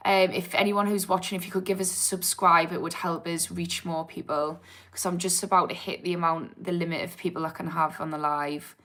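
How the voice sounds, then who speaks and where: A woman's voice: fairly low pitch, little pitch movement, fairly quick, fairly bright tone. An English woman with a Geordie accent and a slightly posh twang, chatting candidly and informally on a livestream as an addiction therapist answering viewers. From England. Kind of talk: casual livestream chat, reading and answering viewer comments.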